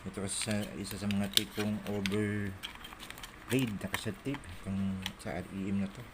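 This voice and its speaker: A man's voice: on the low side, steady tone, moderate pace. A middle-aged Filipino man with a Manila Tagalog accent, rambling casually and muttering to himself as he shows his collection on a handheld phone. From Philippines. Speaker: cassette collector showing a collection. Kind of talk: casual show-and-tell chat, off the cuff. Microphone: phone held in hand while filming. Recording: noisy handheld phone camera audio.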